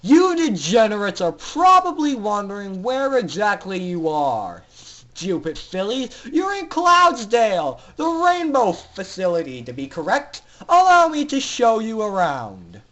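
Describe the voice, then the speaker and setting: Man voicing grandiose sadistic villain scientist, high-pitched. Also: big pitch swings, measured pace, a bit bright, exaggerated voice. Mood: commanding, contemptuous. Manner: commanding, mock-polite, theatrical. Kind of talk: dramatic fan fiction reading.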